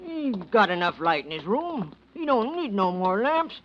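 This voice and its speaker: An American actor playing a timid, penny-pinching landlord who grumbles in folksy, ungrammatical speech, in old radio drama style. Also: male voice, sits high, very animated, moderate pace, a little hiss or hum. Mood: resentful. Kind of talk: 1940s-50s American radio drama acting. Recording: dull vintage radio recording.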